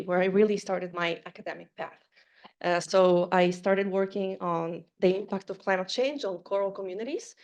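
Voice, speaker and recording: A woman from Costa Rica giving an academic seminar talk, earnest and halting, with many fillers and restarts. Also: medium pitch, darkish tone. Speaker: seminar speaker. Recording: dull lo-fi lecture recording.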